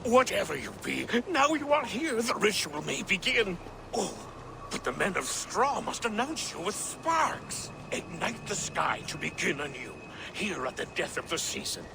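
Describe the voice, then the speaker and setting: An actor playing an eccentric, reverent druid in a video game, speaking in ceremonious, theatrical archaic phrases. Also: male voice, high voice, very animated, a little slow, vocal fry, noisy background, exaggerated voice. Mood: reverent, awed. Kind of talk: video game character acting.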